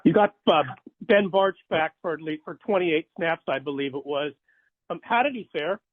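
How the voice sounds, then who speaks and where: A man, a sports reporter, asking long, halting questions in a conversational way over a phone conference line. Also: a little high. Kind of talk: press questions. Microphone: phone conference call. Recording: tinny, band-limited phone line.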